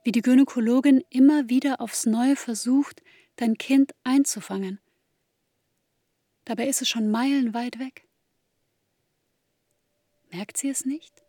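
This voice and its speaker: A woman acting as an intimate, grieving narrator in a literary radio play, speaking in a slow, measured way to a bereaved mother. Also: a little high, dark tone, no room sound. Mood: somber, tender. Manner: slow, measured, intimate second-person delivery.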